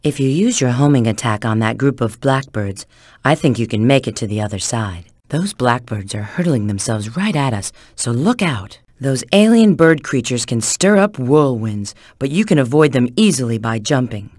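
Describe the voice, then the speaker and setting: A woman's voice: extremely low pitch, expressive, normal speed, darkish tone, dry recording, clean studio sound. An actress playing a sly, confident female spy in a video game, giving scripted hints in a cool, assured, instructive way.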